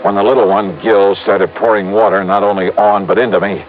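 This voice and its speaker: An actor playing a wry, tough, unflappable insurance investigator in an old-time radio detective drama, clipped and hard-boiled. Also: male voice, fairly low pitch, flat delivery, fairly quick, narrow, tinny audio, slight background noise, lightly acted. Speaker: first-person narrator and hero. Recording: thin old radio recording.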